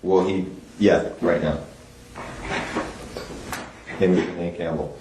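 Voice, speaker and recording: Male detective, very low voice, measured and persuasive in questioning, echoey room recording. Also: fairly even intonation. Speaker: police investigator. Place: small indoor police interview room. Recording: echoey room, clear background noise.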